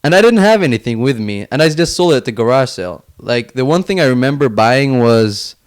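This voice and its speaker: A man's voice: medium pitch, expressive, fairly quick. A man from Finland chatting casually as an interview guest, in informal speech peppered with "like". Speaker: podcast guest, young entrepreneur.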